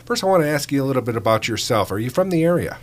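A man's voice: medium pitch, very animated, racing, faint music behind. A man hosting a local radio interview show in a studio, chatty and friendly with frequent filler uhs. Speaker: radio talk show host.

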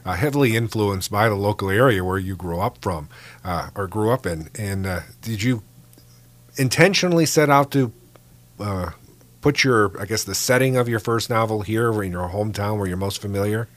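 A man's voice: fairly low pitch, normal speed. A man hosting a local radio interview show in a studio, chatty and friendly with frequent filler uhs. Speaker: radio talk show host.

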